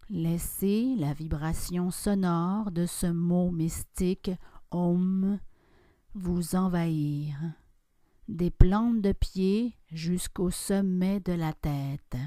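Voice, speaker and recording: Very slow, mellow woman guiding meditation. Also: on the low side, no echo, studio quality. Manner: calm, soft instructions with long pauses. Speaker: yoga nidra guide. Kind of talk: guided relaxation meditation.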